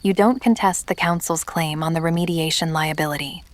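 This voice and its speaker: The firm, formal woman across the table in a noir radio drama's showdown, voice slightly low, dictating terms, played by a woman. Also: steady tone, a little fast, close to an everyday voice. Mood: unyielding. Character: steely woman negotiator laying down ultimatums. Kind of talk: audio drama acting.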